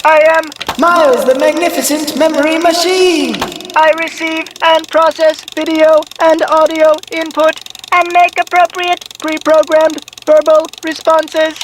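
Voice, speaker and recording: High, flat-toned officious robot. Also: female voice, unhurried, slight background noise. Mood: robotic, formal. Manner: formal, stilted, pre-programmed phrasing. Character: literal-minded, officious talking memory robot with sensors. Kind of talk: video game character voice lines.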